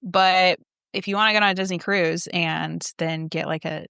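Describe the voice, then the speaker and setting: Chatty woman cohost, smooth clear voice, a bit low, says like a lot, dry sound. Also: fairly even intonation, moderate pace, bright, forceful tone, no echo. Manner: chatty, peppers speech with "like". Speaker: podcast co-host and travel agent. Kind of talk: casual podcast chat.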